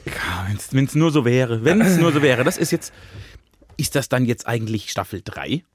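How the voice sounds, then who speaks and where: A man's voice: medium pitch, lively intonation, normal speed, dry recording. A man, co-hosting a podcast in casual, teasing banter. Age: late 20s. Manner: teasing, playful. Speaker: podcast co-host.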